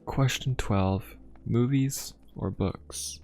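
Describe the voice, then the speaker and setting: A man playing a courteous, inquisitive young pope of a steampunk fantasy world in an ASMR roleplay, speaking politely, formally and in a gently musing way. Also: mid-range voice, extremely slow. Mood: musing, friendly. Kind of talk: ASMR roleplay addressed to the listener. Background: music.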